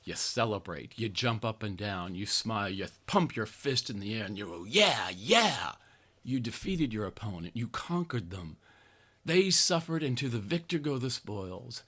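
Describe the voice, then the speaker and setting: Man, American accent, slightly low pitch, dramatic essay reading, sardonic, theatrical, heavy stress on key phrases. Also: expressive, normal speed, sharp tone. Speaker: podcast host.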